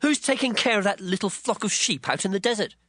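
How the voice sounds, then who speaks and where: An actor playing an angry, scornful elder brother who scolds with a sneer, in a dramatized audiobook. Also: male voice, high-pitched, quick pace, sharp tone, dry recording. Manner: sneering, accusing.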